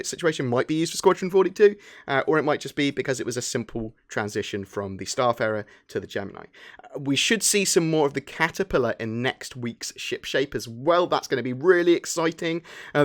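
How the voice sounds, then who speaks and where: A man's voice: medium pitch, fairly bright tone. A British man hosting an informal gaming news show, talking in a chatty, enthusiastic way. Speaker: host of a gaming news channel. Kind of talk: informal scripted news commentary.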